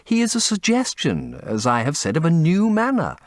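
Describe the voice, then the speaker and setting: A man's voice: fairly high pitch, very animated, normal speed, creaky, dry recording, voice pushed up. A man voicing an earnest, intense painter who speaks in an impassioned, confessional way, as dramatic audiobook acting. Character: earnest, intense male painter, devoted and anguished.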